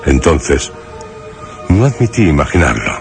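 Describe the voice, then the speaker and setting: An extremely low-voiced haunted man with a Castilian accent from Spain recounts his memories in a horror radio play. His delivery is slow, solemn and literary, broken by dramatic pauses. Music plays behind the brooding narration. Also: sing-song, heavily acted. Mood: disturbed, grave.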